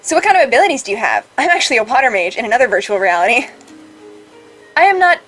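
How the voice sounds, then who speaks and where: Higher-pitched, lively woman playing a game. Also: rapid-fire, low-quality recording. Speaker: let's play host. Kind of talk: casual banter and acted reading of game dialogue. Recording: faint background game music.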